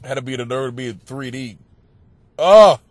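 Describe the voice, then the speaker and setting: A man and comic hosting a podcast, with a stuffed-up nose, telling stories in a profane, animated rant that breaks into shouting. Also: mid-range voice, normal speed, no room sound, studio quality. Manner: animated, swearing, bursts into shouting. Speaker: stand-up comic and podcast host. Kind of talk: profane comedic rant monologue.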